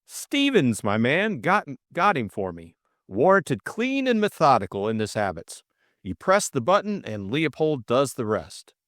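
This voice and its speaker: A man voicing a jaunty young English gentleman adventurer, flippant and drily boastful in a mock-formal way, for an audiobook. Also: medium pitch, wildly swooping pitch, measured pace, no room sound, studio quality, voice pushed up. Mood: jaunty pride.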